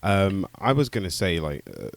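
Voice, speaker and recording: Deep-voiced rambling male host. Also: wildly swooping pitch, moderate pace, gravelly. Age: 30s. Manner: rambling, musing questions. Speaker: talk show host. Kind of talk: casual studio interview. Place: TV studio.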